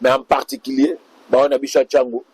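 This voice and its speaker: A man's voice: medium pitch, flat delivery, fairly quick, a little mellow, breathy edge, dry recording. A man answering interview questions as a party official, speaking in a speechlike way to the public.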